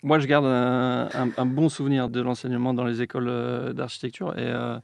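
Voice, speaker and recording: A man answering as an architect in a conversational interview, haltingly searching for words. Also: medium pitch, completely flat delivery, gravelly, darkish tone, no room sound.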